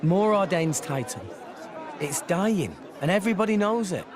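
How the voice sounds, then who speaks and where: A man's voice: sits high, animated, normal speed, background noise. A young man playing an earnest, plain-spoken, headstrong teenage boy hero in a video game. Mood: frank, serious. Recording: game music and crowd bustle behind.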